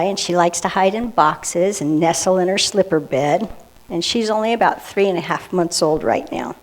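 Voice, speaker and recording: A woman with a mid-range voice tells a story to children. She reads expressively, as a church member sharing verse and simple narration, and lets some phrases sink into a breathy hush. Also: mid-range voice, low-quality recording. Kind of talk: storytelling to children, reading a rhyming poem aloud.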